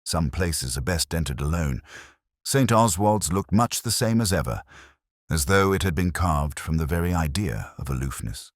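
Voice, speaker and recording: Deep male narrator, dry close sound, measured and reflective, lively intonation, scripted mystery read. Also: no room sound.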